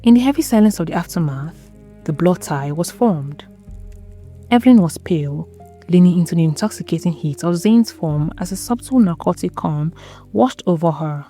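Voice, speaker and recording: A woman narrating a scripted dramatic story in a measured, solemn, literary manner. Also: fairly low pitch, animated, warm tone, soft background music.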